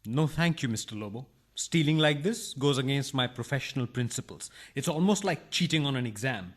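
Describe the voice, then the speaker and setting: A man's voice: medium pitch, expressive, fairly quick, lightly acted. A man playing an aggrieved married burglar in a farcical radio play, protesting in a theatrical, mock-humble way. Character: aggrieved married burglar, indignant yet oddly principled and polite.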